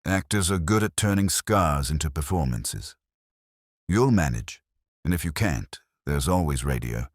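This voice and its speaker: A man's voice: very deep voice, lively intonation, measured pace, dry recording, clean studio sound, full character voice. A man voicing a wisecracking, flippant detective's assistant with a breezy, wry manner in an audiobook story.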